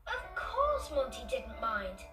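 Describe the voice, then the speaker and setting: High, animated woman telling children's stories. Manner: animated, deliberate storybook delivery. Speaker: storyteller. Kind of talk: expressive children's story narration. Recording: roomy, distant, loud music behind. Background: loud music.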